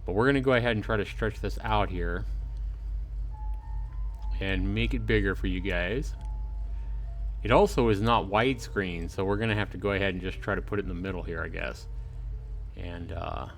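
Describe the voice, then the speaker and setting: A man's voice: low-pitched, normal speed, pauses often, soft background music. A man hosting a casual livestream, chatting informally and in a friendly way, addressing viewers by name. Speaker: livestream host. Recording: clearly noisy home livestream.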